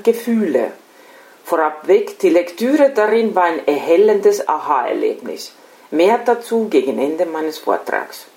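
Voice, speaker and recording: A middle-aged woman from Estonia with an Estonian accent, a non-native speaker reading a scripted lecture in a formal, deliberate way. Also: mid-range voice, a little mellow. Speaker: lecturer, special-needs educator. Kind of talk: scripted lecture read aloud. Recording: echoey room sound.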